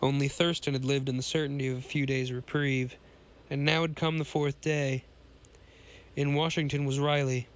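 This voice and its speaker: A hoarse man with a cold, hosting a podcast and reading a pulp science fiction story aloud, often stumbling and restarting lines. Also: mid-range voice, little pitch movement, normal speed.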